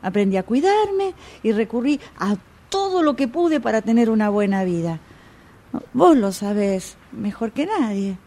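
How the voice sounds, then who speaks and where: An actress playing a gullible, resentful longtime client of a fortune teller in a radio drama, with an Argentine accent and a confiding, dramatic way of telling things. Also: female voice, on the high side, very animated, mellow, exaggerated voice. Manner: confiding, dramatic storytelling with pauses.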